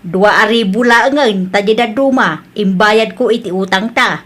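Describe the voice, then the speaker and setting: A woman's voice: medium pitch, moderate pace, fairly bright tone, natural voice. A woman with a northern Philippine accent playing an older, coaxing, scolding wife who gambles hopefully in an audio drama. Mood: worried.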